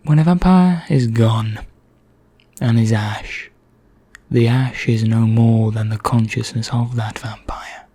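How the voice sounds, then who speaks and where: Guy doing an asmr vampire, bored and courteous, dark soft voice, super slow and whispery. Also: medium pitch, dry recording. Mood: confiding, secretive. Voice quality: hushed, breathy, often whispering. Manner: slow, deliberate, genteel and conspiratorial. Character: bored, secretive immortal male vampire, darkly courteous. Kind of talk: ASMR roleplay.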